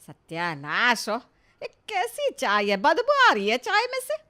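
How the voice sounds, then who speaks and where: Woman acting a sharp, nagging village mother-in-law. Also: on the high side, wildly swooping pitch, unhurried, exaggerated voice. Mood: disgusted complaining. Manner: scolding, complaining, sarcastic. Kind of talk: Hindi animated family comedy story acting.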